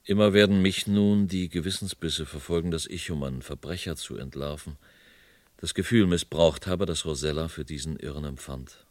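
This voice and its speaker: An actor playing a remorseful police inspector, narrating his case gravely and deliberately in a 1970s radio crime drama. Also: extremely low pitch, darkish tone, no echo.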